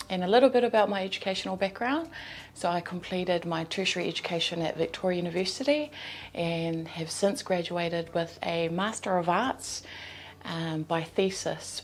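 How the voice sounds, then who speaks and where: A young woman from New Zealand, a mental health worker, introducing herself and her role in an earnest, measured way with small fillers. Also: fairly low pitch. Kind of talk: scripted introduction to camera.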